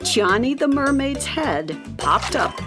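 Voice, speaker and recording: A woman's voice: high voice, very animated, slow. Woman narrating a children's story over music.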